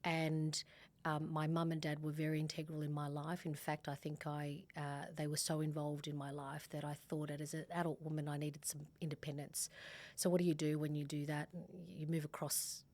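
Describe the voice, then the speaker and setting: A middle-aged Australian woman with a notably low voice, speaking as a podcast guest. She talks in a relaxed, chatty way, full of ums and self-corrections as she recalls her story. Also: moderate pace. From Sydney, Australia. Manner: warm, chatty, many fillers and restarts. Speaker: guest, family law partner. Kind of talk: casual podcast interview.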